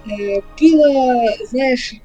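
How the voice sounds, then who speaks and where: A woman's voice: high-pitched, drawn-out words, dark tone, highs cut off, soft background music. A woman running a tabletop game, giving casual, hesitant feedback over an online voice chat and often repeating words as she talks. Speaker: game master.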